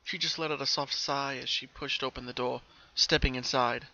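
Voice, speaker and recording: A narrator giving an expressive, careful dramatic reading of a story, as in an audiobook recorded at home. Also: mid-range voice, moderate pace, no echo, highs cut off.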